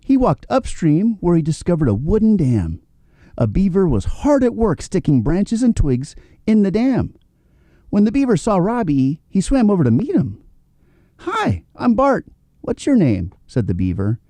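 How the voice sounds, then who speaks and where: Very mellow, animated male storyteller. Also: high-pitched, moderate pace, dry recording. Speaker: storybook narrator. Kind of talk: scripted children's story read-aloud.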